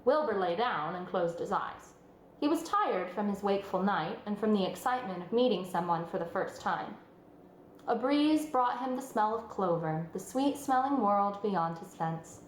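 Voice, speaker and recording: A woman narrating a children's story aloud in an expressive storybook style. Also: mid-range voice, moderate pace, slight background noise. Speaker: storybook reader. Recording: roomy sound with music underneath.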